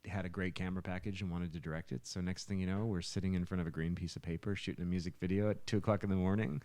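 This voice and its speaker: A man's voice: sits low, steady tone, very fast, mellow, no room sound. A man telling stories in a casual, conversational way as a musician guest on a podcast. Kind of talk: casual storytelling chat.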